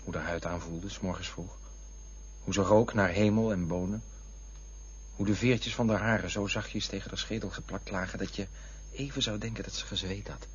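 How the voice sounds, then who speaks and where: An actor playing a defensive, wistful man in a Dutch radio play, touchy and drifting into lyrical memories, with a husky voice. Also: low voice, steady tone, moderate pace. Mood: wistful reminiscing. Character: defensive, wistful man looking back on lost loves. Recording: dull, lo-fi radio play recording.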